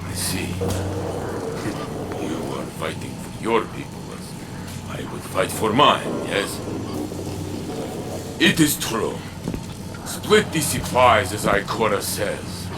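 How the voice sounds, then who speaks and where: A stern, proud soldier of the city in a video game, gruff and probing. The voice is low and very measured, over clear background noise. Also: male voice, lively intonation. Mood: grudging. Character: stern, proud male human guardian of the city.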